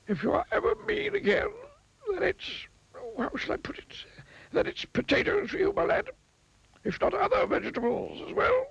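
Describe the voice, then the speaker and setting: A high, wordy storybook wizard. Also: male voice, big pitch swings, moderate pace, creaky, fairly bright tone, dull audio, heavily acted. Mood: pompous, stern. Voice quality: high, fluttery, long-winded. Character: wordy, pompous old male wizard.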